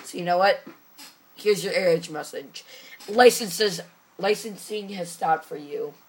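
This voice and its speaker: A teenage boy with a hoarse voice, chatting casually and jokingly into a webcam from his room, wearing a gaming headset. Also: high voice, a little slow, poor audio. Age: teens. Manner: rambling, joking, tired. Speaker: vlogger. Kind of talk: casual chat. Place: small room at home, indoors.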